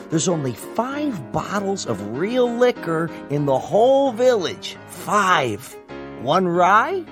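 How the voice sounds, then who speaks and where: Drunk bitter man, belligerent, sarcastic, slow rambling delivery, radio drama, music behind. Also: fairly high pitch, full character voice. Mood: drunken bitterness. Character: bitter drunken man, defiant and reckless on his birthday.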